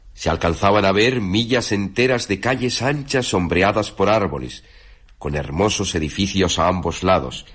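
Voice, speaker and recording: A man with a Castilian Spanish accent giving a formal, measured literary reading on the radio. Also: deep voice, husky. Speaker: radio reader and narrator. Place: radio studio. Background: faint music.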